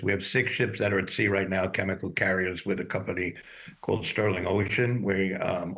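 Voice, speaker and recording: A mature man giving unscripted expert commentary as a guest in a news interview, explaining in a halting, matter-of-fact way. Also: fairly low pitch, a bit bright, rough recording. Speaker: shipping executive as expert guest.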